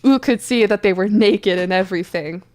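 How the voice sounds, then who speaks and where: A woman's voice: medium pitch, moderate pace, a little mellow, soft background music. A woman reading a story aloud comedically, with casual banter as a co-reader on a live stream.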